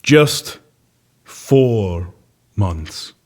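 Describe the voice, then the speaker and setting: A man playing a grave doctor in a comedy sketch, speaking in a solemn, deliberate, deadpan way. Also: fairly low pitch. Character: grave male doctor delivering absurd bad news. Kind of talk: comedy audio sketch acting.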